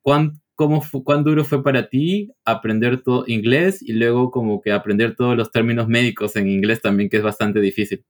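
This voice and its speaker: A man's voice: mid-range voice, fairly even intonation, brisk, hardly any pauses. Male co-host, friendly, lays out long questions conversationally. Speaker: podcast co-host, interviewer. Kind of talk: casual interview questions.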